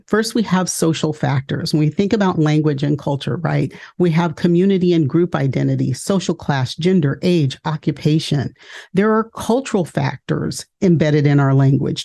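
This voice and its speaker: Woman, from California, education webinar host, mellow tone, clear measured delivery. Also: low voice. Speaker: education consultant and podcast host. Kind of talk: scripted webinar presentation.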